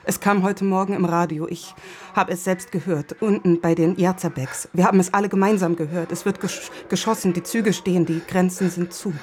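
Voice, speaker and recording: An actress playing a concerned, firm middle-aged wife in a naturalistic radio play, explaining things in a measured, insistent way. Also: female voice, fairly low pitch, fairly even intonation, darkish tone, close to an everyday voice. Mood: grave.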